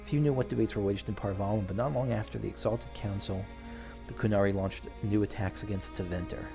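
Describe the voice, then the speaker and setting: A man's voice: fairly low pitch, steady tone, brisk, creaky. A man commenting on a video game playthrough, thinking aloud and reading story text out. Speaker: gamer playing through a video game and commenting. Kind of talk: casual commentary mixed with dramatic reading of on-screen story text. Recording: game music behind the voice.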